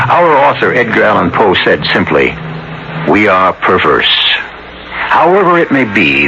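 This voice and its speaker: A man hosting a radio drama with scripted narration, ominous, theatrical and deliberate. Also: on the low side, heavy background noise. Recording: muffled old radio broadcast.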